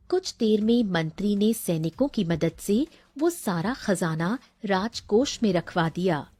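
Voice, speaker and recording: A woman's voice: mid-range voice, a little mellow, no echo. A woman narrating a scripted fairy tale for a cartoon as a storyteller.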